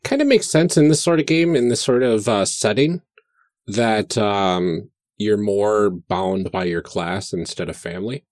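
Slow, hesitant man in a dry-sounding room. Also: lowish voice, darkish tone, dry recording. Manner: hesitant, pausing between phrases. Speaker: podcast host and player. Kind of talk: casual chat during tabletop game character creation.

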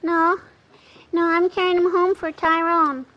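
A woman's voice: very high-pitched, flat delivery, a little slow. A woman playing a chatty, cheeky little schoolgirl in an old-time radio comedy. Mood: chatty, eager. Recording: dull vintage radio recording.

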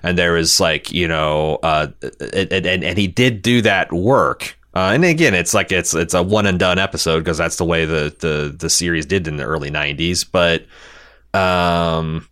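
A man co-hosting a podcast, talking casually and critically about a show in a halting way, often repeating words. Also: low voice, fairly bright tone. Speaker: podcast co-host.

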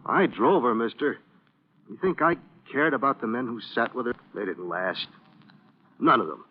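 An actor playing an anguished, lovesick driver who is breaking down, in an old-time radio crime drama. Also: male voice, mid-range voice, lively intonation, moderate pace, close to an everyday voice. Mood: wounded longing. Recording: muffled, noisy vintage radio recording.

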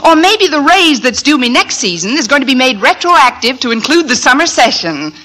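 An actress playing a wisecracking English teacher in an old radio sitcom, with dry, sardonic delivery. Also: female voice, sits high, sing-song, bright, forceful tone, exaggerated voice. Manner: dry, sardonic, quick with quips. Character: wry, wisecracking unmarried woman English teacher. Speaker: comedy actress. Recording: noisy vintage radio recording.

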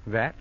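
A big-talking, heartless racketeer in a vintage radio noir. He takes his time, bright-voiced and swinging in pitch, mocking and dismissive. The broadcast sounds old and muffled. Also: gravelly, a little hiss or hum, full character voice. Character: cocky, cruel big-talking racketeer nightclub partner. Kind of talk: 1940s-50s radio crime drama acting.

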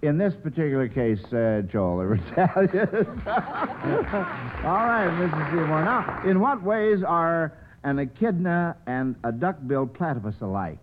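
A man's voice: a little high, animated, unhurried. A man hosting a 1940s radio quiz show, who chuckles often and repeats his phrases. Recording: noisy, tinny old radio broadcast.

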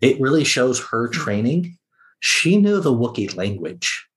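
A man's voice: mid-range voice, very animated, a little slow, poor audio. A man speaking as a podcast guest in a casual discussion.

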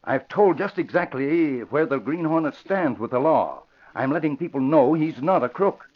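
A man's voice: a little high, animated, normal speed. An actor playing an older American father, proud and eager, with emphatic folksy exclamations in 1940s radio drama style. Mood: earnest, proud. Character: proud, eager old father, former Texas rider. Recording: muffled, noisy vintage radio recording.

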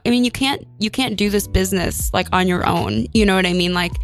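A woman chatting casually as a musician guest in a radio studio, in a halting, giggly, conversational way. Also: medium pitch, faint music behind. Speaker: interview guest, vocalist and saxophonist. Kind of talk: casual chat.

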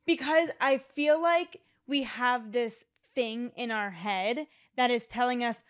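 A woman's voice: a little high, fairly bright tone, smooth. A woman in her late twenties co-hosting a podcast, talking candidly and earnestly in a casual chat, with frequent "like" fillers. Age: 20s. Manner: candid, earnest, speaks in quick runs with "like" fillers. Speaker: podcast co-host.

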